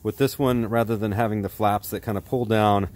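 Low-voiced American man reviewing gear. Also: fairly even intonation, brisk, a little mellow. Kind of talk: casual product review. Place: outdoors in a backyard.

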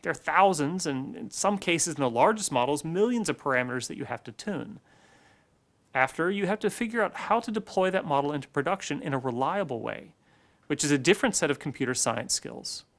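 A man in his forties giving a scripted keynote presentation in a measured, deliberate way, recorded in a studio on a lapel mic. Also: on the high side, lively intonation.

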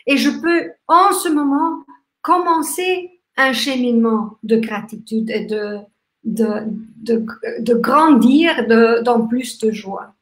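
A woman in her fifties from Austria with an Austrian German accent, German her first language, giving a gentle, reflective live talk with many restarts and fillers. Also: fairly high pitch, slow. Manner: talks with lively hand gestures. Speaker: workshop host. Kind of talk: live online talk on gratitude. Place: small room indoors. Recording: echoey room.

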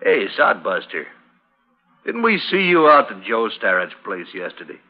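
Mocking western saloon bully, drawn out, really animated, muffled old radio. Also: male voice, fairly low pitch, normal speed. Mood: taunting. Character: sneering, taunting cowhand bully in a saloon. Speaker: radio drama actor. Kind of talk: 1950s radio western acting.

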